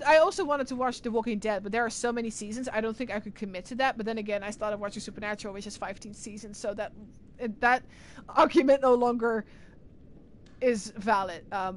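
Rambling Irish woman streamer. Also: fairly high pitch. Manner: rambling, answers chat messages aloud. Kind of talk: casual livestream chat.

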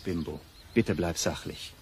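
A man's voice: low voice, measured pace, darkish tone, breathy edge, exaggerated voice. An actor playing a firm, worried middle-aged father and doctor in a children's audio drama. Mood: stern, exasperated. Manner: earnest, firm, easily exasperated.

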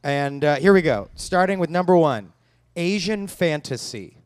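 Quizmaster bem-humorado, voz de homem um pouco aguda, seco e brincalhão, som seco e próximo. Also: male voice, fairly high pitch, lively intonation, unhurried, clean tone, no echo. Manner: dry jokey quizmaster, chuckling. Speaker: game segment host. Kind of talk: comedy podcast game hosting. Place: stage before live audience. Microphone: handheld mic.

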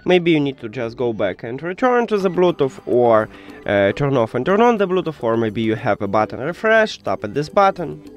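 A man's voice: medium pitch, very animated, normal speed, dull audio, soft background music. A man hosting a step-by-step tech tutorial, speaking English with non-native phrasing.

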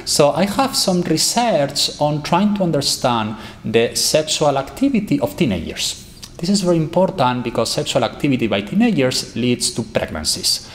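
A man's voice: on the high side, normal speed, slight background noise. A man, giving an academic conference talk at a podium microphone in a lecture hall, gesturing as he speaks. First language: Spanish. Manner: animated, gesturing, emphatic.

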